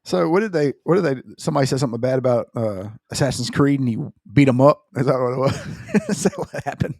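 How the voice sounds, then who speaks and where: A man's voice: medium pitch, lively intonation, quick pace, a little mellow, no echo, studio quality. A man co-hosting a gaming podcast, teasing his partner and joking with frequent laughter. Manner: teasing, jokey, laughs often. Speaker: podcast co-host. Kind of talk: casual gaming podcast banter.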